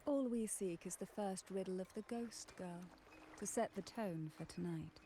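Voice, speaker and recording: An actress playing a mysterious, alluring potion maker in a video game, with a teasing, measured, theatrical delivery. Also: female voice, medium pitch, mellow, slight background noise, lightly acted. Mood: playful, poised. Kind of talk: video game story dialogue.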